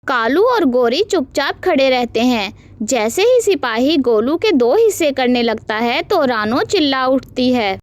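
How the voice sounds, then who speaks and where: A woman narrating a scripted animated moral story as the storyteller. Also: high-pitched, measured pace, hardly any pauses.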